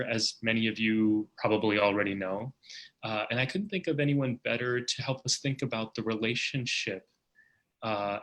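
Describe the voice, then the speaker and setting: A male host opens and guides an interview in an earnest, thoughtful way, often restarting his sentences and filling pauses with "uh". His voice sits on the low side yet has a bright, forceful edge. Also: rough recording. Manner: earnest, with many fillers and restarts.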